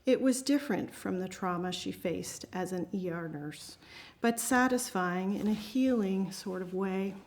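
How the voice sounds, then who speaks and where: Woman, amateur author presenting her own writing aloud; medium pitch. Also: unhurried, few pauses, darkish tone. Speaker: amateur writer reading at an open mic. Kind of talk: reading own short story aloud to a group.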